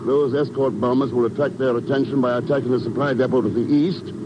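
A man's voice: medium pitch, fairly even intonation, fast talker, lightly acted. Man as a dry, unruffled radio secret agent. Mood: calm, businesslike. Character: cool, dry-witted male secret agent hero. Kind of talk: 1950s radio drama acting. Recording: muffled, very noisy 1950s radio recording.

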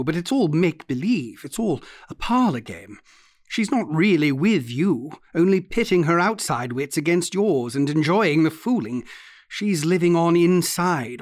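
A man's voice: on the high side, no room sound, studio quality. Man doing a brooding haunted young man. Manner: earnest, insistent, guttural at times.

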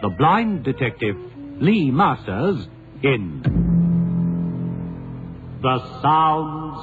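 A male 1970s radio announcer reading a scripted sponsor message with dramatic, measured, drawn-out emphasis. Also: medium pitch, very animated, narrow, tinny audio. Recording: thin vintage radio recording under music.

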